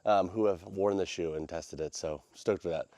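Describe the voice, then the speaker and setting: Low-pitched nasal American man vlogging. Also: moderate pace, dark tone, no room sound, clean studio sound. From United States. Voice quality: nasal, congested. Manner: informal, slangy, rambling. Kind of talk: casual vlog chat.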